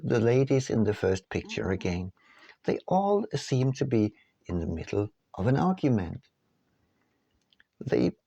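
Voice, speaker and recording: A man reading his own book aloud as scripted audiobook narration, in measured, deliberate phrases. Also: medium pitch, gravelly, darkish tone, no room sound.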